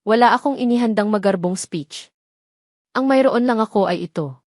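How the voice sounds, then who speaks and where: A woman voicing a sincere, regretful man in love in a romantic audio drama, earnest and soft-spoken as he vows devotion. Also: medium pitch, moderate pace, no echo, clean studio sound, close to an everyday voice.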